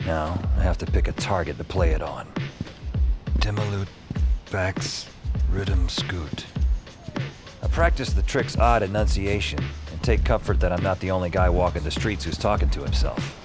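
A low male voice as a cocky young-gun novice spellcaster telling his own tale in an audio drama. He is restless, wry and conversational, over a music bed. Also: normal speed. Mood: wry narration. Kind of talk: first-person audio drama narration and acting.

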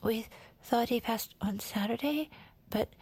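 A woman playing a grieving, giggly true-crime podcast co-host in audio fiction, halting and trailing off with nervous laughter. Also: a little high, a little mellow, husky, dry recording. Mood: subdued, grieving.

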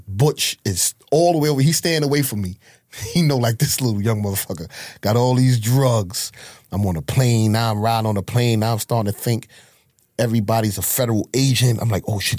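Man, New York accent, low husky voice, dry close mic, streetwise profane storytelling. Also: darkish tone, dry recording. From Harlem, New York. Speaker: guest telling his life story. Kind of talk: casual storytelling interview.

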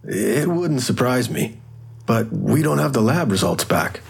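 A man's voice: medium pitch, unhurried, vocal fry, slightly rough, full character voice. An actor playing a quirky, chatty male medical examiner in an audio drama. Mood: clinical. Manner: quirky, animated. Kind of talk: full-cast audio drama acting.

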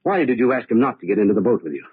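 A sober, suspicious nephew in a vintage radio play. His very husky voice rises and falls as he probes. The sound is narrow and tinny. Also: medium pitch, very animated, no echo. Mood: probing, suspicious. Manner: measured, probing questions. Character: grieving, quietly suspicious young male nephew. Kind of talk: old-time radio drama acting. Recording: tinny, band-limited old radio recording.

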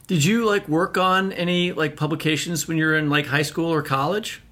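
A man's voice: fairly high pitch, steady tone, moderate pace, fairly bright tone. A man co-hosting a literary podcast, introducing guests and asking questions in an upbeat, joking way. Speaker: podcast co-host. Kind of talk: podcast interview.

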